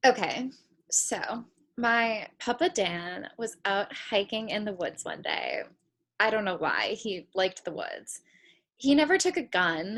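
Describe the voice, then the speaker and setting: A woman's voice: mid-range voice, fairly bright tone. An actress playing a dreamy, hesitant young woman who rambles reflectively in a naturalistic play reading. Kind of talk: naturalistic stage drama read over video call.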